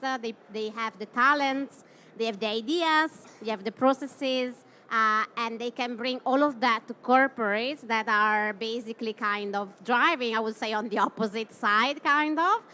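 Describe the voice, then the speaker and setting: A woman moderates a conference panel, her voice bright and a little high. She asks long, loose questions padded with hedges, and a faint background noise sits under her voice.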